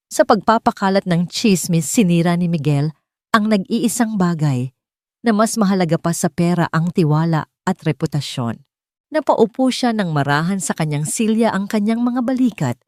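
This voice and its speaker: A woman reading a scripted dramatic story as narrator, with deliberate phrasing. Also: lowish voice, darkish tone, dry recording, studio quality.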